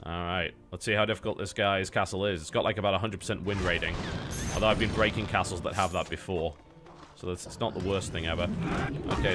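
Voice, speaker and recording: An English man giving live commentary on his game, explaining his tactics as he plays and swearing now and then. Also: sits low. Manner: analytical, explains tactics while playing, occasional swearing. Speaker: streamer playing a game. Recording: game music playing behind.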